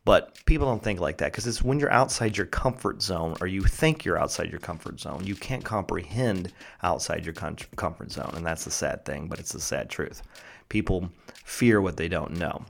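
A man's voice: deep voice, expressive, moderate pace, vocal fry, slight background noise. Sarcastic rambling male podcaster. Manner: joking, sarcastic, tangent-prone, crude humor; chewing while talking. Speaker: podcast host. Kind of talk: rambling solo podcast monologue.

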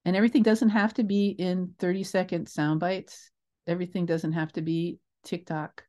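Older woman telling expressive stories, lowish voice. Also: normal speed. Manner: expressive, emphatic, rambling with asides. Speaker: guest, theatre creator. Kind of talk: animated anecdotal storytelling in an interview.

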